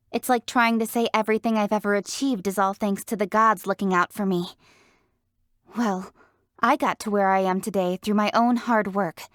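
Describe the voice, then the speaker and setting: Fantasy game role: proud, hardworking young female leader played by an actress, highish voice, articulate and self-assured, dry close studio sound. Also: no room sound, natural voice. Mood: proud, defiant. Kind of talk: scripted video game character voice lines.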